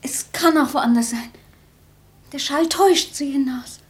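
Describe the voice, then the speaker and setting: An anxious mother in a German radio play. Her voice is high and hushed, guarded and apprehensive, holding on to hope. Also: unhurried. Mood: fearful, hushed.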